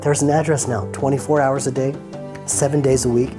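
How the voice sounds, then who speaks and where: A man's voice: medium pitch, little pitch movement, a little fast, over music. A man in his thirties from Atlanta, giving an earnest personal testimony as an interview guest in short fervent phrases. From United States (Atlanta).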